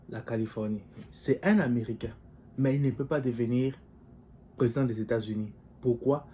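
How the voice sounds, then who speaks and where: Mellow-voiced man weighing politics aloud. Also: medium pitch, a little hiss or hum. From DR Congo. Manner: measured, thinking aloud with rhetorical questions. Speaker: political commentator. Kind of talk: solo political commentary. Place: living in the United States.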